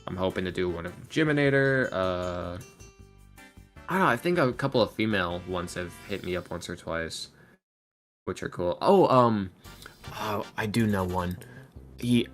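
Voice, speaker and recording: A man's voice: deep voice, moderate pace. A man in his early twenties chatting casually as a podcast guest, rambling along in an informal, slangy way. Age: early 20s. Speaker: interview guest, ASMR roleplay creator and voice actor. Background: soft guitar music.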